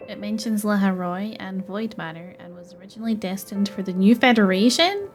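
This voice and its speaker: A young woman doing casual, expressive playthrough commentary and reading game text aloud. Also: medium pitch, a little fast, soft background music. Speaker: gaming streamer.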